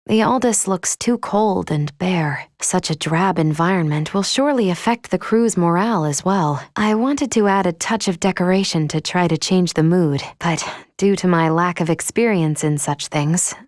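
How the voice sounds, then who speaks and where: A woman playing a polite, earnest female android in a video game, speaking in formal, precise, measured phrases. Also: fairly low pitch, a little mellow, no room sound, studio quality, lightly acted. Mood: earnest, thoughtful.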